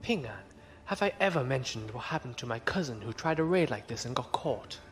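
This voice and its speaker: A man's voice: medium pitch, animated, brisk, natural voice. An actor playing a gloomy, reluctant space pirate crewman with a dry, grumbling manner in an audio drama.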